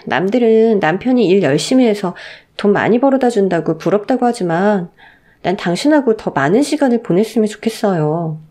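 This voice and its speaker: A woman's voice: medium pitch, expressive, fairly quick, mellow, lightly acted. A woman voicing a meek young wife, polite and wistful, in a radio story drama.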